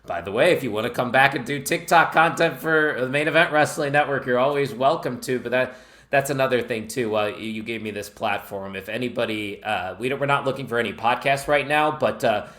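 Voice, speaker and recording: A man's voice: medium pitch, fairly bright tone. An American man with a Chicago accent, a podcast guest talking in an earnest, enthusiastic way that drifts off the point. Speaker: podcast guest, wrestling network founder. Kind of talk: casual podcast chat.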